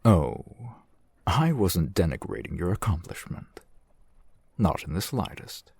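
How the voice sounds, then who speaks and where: A man playing a suave, shrewd fantasy shopkeeper in an audio roleplay, speaking in measured, formal, wry phrases with a gravelly, husky voice, close to the mic. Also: low-pitched, sing-song, a little mellow, dry recording, exaggerated voice. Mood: sly, businesslike. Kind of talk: fantasy ASMR roleplay acting. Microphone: close microphone, dry sound.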